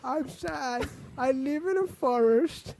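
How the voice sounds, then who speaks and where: A whiny, gloomy villain, in a high voice full of creak. He drags his lines out slowly and plaintively, forever sorry for himself. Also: a crowd in the background, heavily acted. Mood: sad. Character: whiny, mopey male villain Bane, sad and self-pitying.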